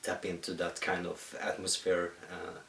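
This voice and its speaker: A Swedish man, speaking English as a second language, who talks about his music in a halting, thoughtful way with many pauses. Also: low-pitched, completely flat delivery, fairly bright tone. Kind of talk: reflective solo monologue. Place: at home in the countryside. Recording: echoey room, distant mic, faint hiss.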